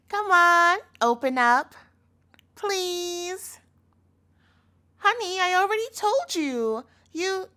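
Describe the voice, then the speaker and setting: Woman acting a high-pitched, sweetly possessive yandere girlfriend. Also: pushed and bright, exaggerated voice. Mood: coaxing, insistent. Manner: coaxing, cloying, pleading. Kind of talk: scripted audio roleplay.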